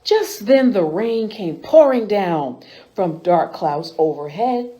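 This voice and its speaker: A woman reading a children's picture book aloud as a storytime host, with an expressive, sing-song rhyming delivery. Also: mid-range voice, slow pace, lo-fi sound. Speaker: library storytime reader.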